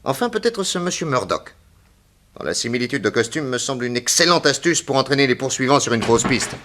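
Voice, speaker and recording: An actor playing a self-assured private detective in a French radio drama, brisk, methodical and authoritative. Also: male voice, medium pitch, very animated, fairly bright tone, a little hiss or hum. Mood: probing, assured.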